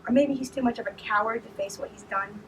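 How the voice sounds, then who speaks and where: A woman's voice: on the high side, quick pace, natural voice. A woman reading aloud as a defiant, mocking young woman held prisoner. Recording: distant mic with noise behind.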